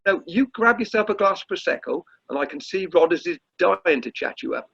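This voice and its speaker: A man's voice: high-pitched, lively intonation, fairly quick, creaky, fairly bright tone, slightly rough, exaggerated voice. A man playing a fussy, polite amateur dramatics director in a comic radio play, stammering and tactfully effusive. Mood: awkward, tactful. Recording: slightly dull video call audio.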